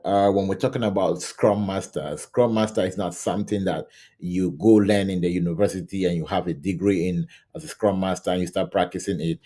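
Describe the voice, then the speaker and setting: Middle-aged male coach from Cameroon, low warm voice, loose chatty teaching style. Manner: conversational, repeats "right?" and restarts often. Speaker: scrum coach. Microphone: camera mic, facing camera.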